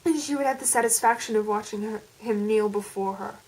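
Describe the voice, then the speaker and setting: A woman's voice: medium pitch, fairly quick, a little mellow, a little hiss or hum, close to an everyday voice, rough recording. A woman putting on an American accent as an intense, melodramatic storyteller with dramatic, emphatic delivery. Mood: anguished, vengeful. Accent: put-on American.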